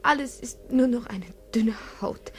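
An actress playing a frightened young daughter in an old radio drama, speaking in a fearful whisper. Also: female voice, on the high side, a little slow, natural voice. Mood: dread. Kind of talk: 1950s radio drama acting. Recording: old 1950s radio recording with hiss.

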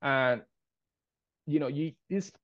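A man in his thirties with a Spanish accent, a limo business owner speaking in halting, non-native English as a guest on a video call from home. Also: fairly high pitch, no room sound. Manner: halting, repeats words, non-native grammar. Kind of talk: casual interview on a video call. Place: living room at home. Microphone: phone camera on a video call. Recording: dull, dry phone-call audio.

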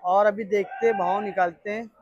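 A man with a high voice and a Mumbai Hindi accent gives casual vlog commentary. He talks informally to viewers and repeats himself often. The phone recording sounds a little dull. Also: fairly even intonation, normal speed, dry recording. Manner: repetitive, informal, addressing viewers as brother. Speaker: vlogger touring a wholesale fruit market. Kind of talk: casual vlog commentary, asking vendors prices. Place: large covered wholesale fruit market. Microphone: handheld phone.

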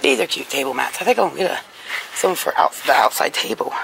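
A woman walks the aisles of a big discount store with a handheld camera and chats to her viewers about what she sees. Her voice is gravelly with plenty of creak, and her pitch rises and falls in a lively way. The steady hum of the store comes through clearly behind her. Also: fairly quick. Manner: chatty, talking to viewers while browsing. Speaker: vlogger. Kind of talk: casual shop-along commentary. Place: inside a large discount store. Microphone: handheld camera carried while walking. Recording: store background noise. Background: store ambience.

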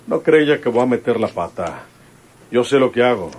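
A man's voice: mid-range voice, big pitch swings, moderate pace, a little mellow, lightly acted. An actor playing a worried, determined country lawman and father in a radio drama, with folksy northern Mexican speech. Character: rural ex-comisario father, stubborn, worried, determined. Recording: noisy old radio drama recording.

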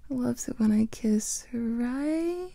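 A young woman voicing a teasing female vampire lover in an ASMR roleplay, whispering softly close to the microphone. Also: on the high side, lively intonation, drawn-out words, dry recording, full character voice. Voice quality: breathy whisper with vocal fry.

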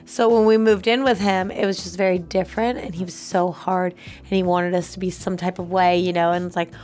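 A woman co-hosting a reaction video, chatting casually and telling anecdotes in an animated way, peppered with "like". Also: fairly low pitch, brisk. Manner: animated storyteller, acts out quotes, frequent "like".

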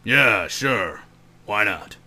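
A man voicing a grumpy, sarcastic green alien warrior in a parody anime dub, gravelly, deadpan and easily irritated. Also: lowish voice, very slow, heavy background noise. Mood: dry.

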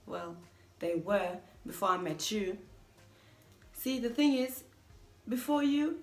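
A woman performing a theatrical monologue as a self-declared mean young woman resisting a marriage proposal, dramatic and emphatic. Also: medium pitch. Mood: confessional. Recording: echoey room.

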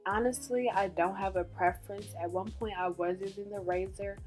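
A woman in her twenties with a dry, deadpan voice, chatting casually through a hair tutorial as a beauty vlogger. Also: medium pitch, normal speed. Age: 20s. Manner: monotone, deadpan delivery. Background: background music.

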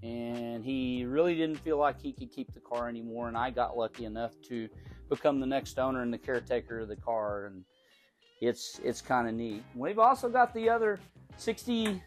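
A middle-aged man from Oklahoma giving a casual, folksy tour of the cars in his large garage, with an unhurried manner and frequent small restarts. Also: medium pitch, lively intonation, faint music behind. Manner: folksy, unhurried, repeats words while thinking. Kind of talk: casual show-and-tell.

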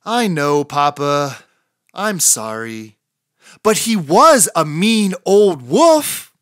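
A woman's voice: low-pitched, big pitch swings, very slow, no room sound, studio quality, exaggerated voice. A woman voicing an eager, impulsive little girl chicken in a storybook, pleading and blurting out warnings.

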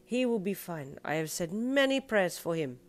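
Woman as a kindly Italian cabbie, soft-spoken. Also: lowish voice, very animated. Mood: soothing. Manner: soft-spoken, drawn-out, sprinkles endearments. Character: warm, kindly Italian male cab driver, gently reassuring.

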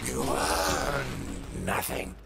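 Game villain: ruthless boastful tycoon, very gravelly, wildly swinging pitch, contemptuous and emphatic. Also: male voice, very animated, drawn-out words, loud music behind the voice, heavily acted. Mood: bitter contempt. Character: ruthless, boastful self-made male corporate tycoon villain. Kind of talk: theatrical video game villain dialogue.

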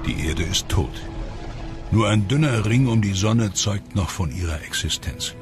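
A man with a very low, deep voice reads documentary narration in a measured, dramatic way. It is a clean studio voice-over with clear music running underneath. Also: lively intonation. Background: clear background music.

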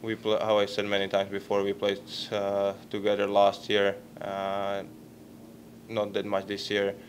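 A young man in his twenties with a Czech accent, answering postgame questions at a press table microphone, halting and searching for words. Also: lowish voice, monotone, a little hiss or hum. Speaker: hockey player at a postgame press conference. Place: press conference room.

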